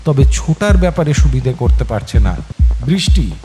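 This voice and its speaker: Expressive man narrating a suspense story over music. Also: medium pitch, normal speed. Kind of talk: dramatic scripted story narration. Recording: music behind the voice. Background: clear background music.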